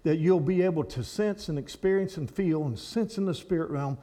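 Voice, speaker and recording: A man preaching to a church congregation, animated and rambling, with frequent restarts. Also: on the high side, moderate pace. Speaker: guest speaker at a church. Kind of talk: sermon to a congregation.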